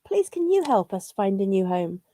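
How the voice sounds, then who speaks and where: A woman's voice: mid-range voice, sing-song, moderate pace, dark tone, no echo. A woman voicing small, polite, homeless worms in a children's storybook, with sing-song pleading. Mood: politely pleading.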